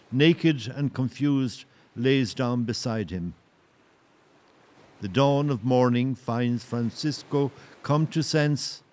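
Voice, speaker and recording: A man narrating an audio play as a solemn storyteller, in a poetic, literary and measured style. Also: medium pitch, a little mellow, lightly acted. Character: solemn storyteller of a historical shipwreck tale.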